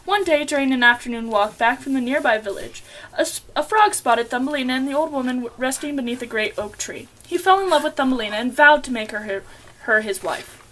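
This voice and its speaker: Young woman reading a fairy tale script, pretty high voice, trips on words sometimes. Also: fairly quick, fairly bright tone, poor audio. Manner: stumbles over words and giggles. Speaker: puppet show narrator. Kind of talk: scripted storybook narration.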